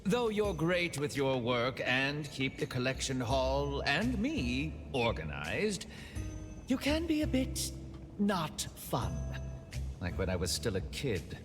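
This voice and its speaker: An actor playing a flamboyant, doting rich gentleman in a video game, speaking in a theatrical, grandly sentimental way. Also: mid-range voice, huge pitch swings, slow pace. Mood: teasing. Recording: long hall reverb, music behind.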